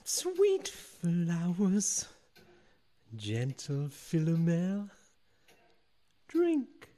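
Very slow, whimsical old sprite. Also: male voice, a little high, dark tone, exaggerated voice. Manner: slow, crooning, sing-song. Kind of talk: radio play acting.

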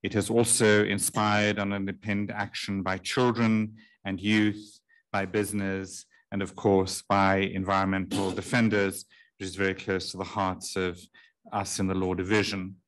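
A middle-aged man with a deep voice, chairing a workshop and giving formal opening remarks into a table microphone in a large conference room, measured and with frequent ums. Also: little pitch movement. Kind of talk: formal speech, partly read.

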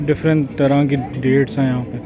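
A young man giving a relaxed, chatty walkthrough of a buffet, filming himself on a phone at arm's length. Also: medium pitch, normal speed. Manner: relaxed, chatty, pointing things out as he walks. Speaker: food vlogger. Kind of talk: casual walkthrough vlog. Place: outdoor buffet at a waterfront restaurant. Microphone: phone camera held at arm's length. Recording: dull lo-fi sound with crowd chatter.

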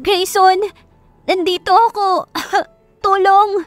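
A sweet, protective young wife talks in a high, girlish voice as a woman acts her out. Her delivery is eager and emphatic, in the style of a romance audio drama, with music behind her. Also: steady tone, slow pace. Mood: panicked.

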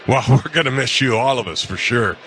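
A man's voice: medium pitch, expressive, a little fast, a bit bright, a little hiss or hum. A man chatting casually as a baseball broadcast partner in a stadium booth. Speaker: radio broadcast partner, color commentator.

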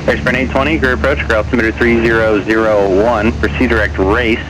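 Fast-talking male controller on radio. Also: mid-range voice, fairly even intonation. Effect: aviation radio. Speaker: air traffic controller. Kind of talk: clipped radio instructions. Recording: aviation radio over loud cabin noise.

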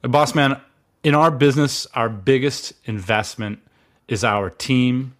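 A man's voice: mid-range voice, unhurried, a bit bright. Playful man co-hosting a podcast. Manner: playful teasing, laughs often. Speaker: podcast co-host. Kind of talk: casual business podcast chat.

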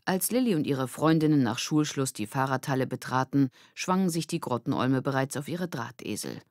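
A woman's voice: a bass voice, expressive, moderate pace, darkish tone, no echo, clean studio sound. A woman with a standard German accent reads a scripted children's story as an audiobook narrator.

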